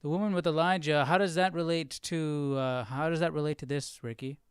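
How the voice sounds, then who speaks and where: A man's voice: a little high, steady tone, brisk, clear, resonant tone, no room sound, clean studio sound. A man hosting a live stream with casual, informal commentary, musing aloud and repeating phrases as he thinks. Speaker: host of a live Bible study stream.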